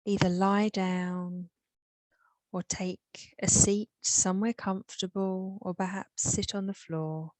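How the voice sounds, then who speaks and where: A woman with an English accent leading a guided meditation, soothing and unhurried, with long pauses. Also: mid-range voice, little pitch movement, darkish tone, dry recording, rough recording.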